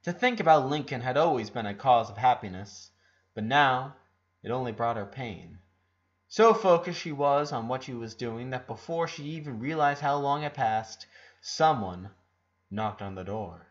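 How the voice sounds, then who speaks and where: Male narrator for audiobook-style fiction, mid-pitched, stressing words dramatically, on a slightly muffled low-fidelity recording. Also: animated, moderate pace. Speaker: narrator reading fan fiction aloud. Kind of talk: scripted narration, audiobook-style story reading. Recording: dull lo-fi recording.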